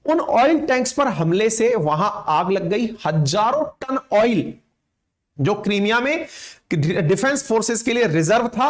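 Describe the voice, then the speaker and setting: A man with an Indian accent teaching current affairs in a didactic, explanatory way, often checking that listeners follow. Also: high-pitched. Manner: didactic, pausing to check with "theek hai".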